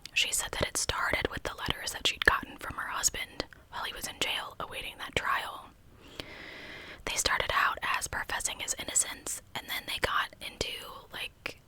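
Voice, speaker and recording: A woman hosts a true crime ASMR story entirely in a hushed whisper. The sound is very bright and sharp, with almost no voiced tone. She retells the case conversationally, like informal storytelling.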